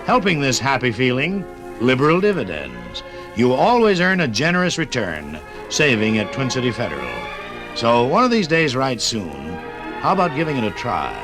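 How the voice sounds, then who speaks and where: Old-time radio announcer guy, polished n dramatic, pitch goes all over, music behind him. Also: huge pitch swings, normal speed. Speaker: narrator and announcer. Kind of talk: 1950s radio narration.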